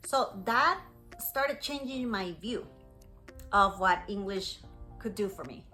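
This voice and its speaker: A native Spanish-speaking woman from Costa Rica with a Costa Rican accent, telling a personal story to learners as an English teacher, clearly phrased and encouraging. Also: mid-range voice, lively intonation, takes it slowly, sharp tone, soft background music.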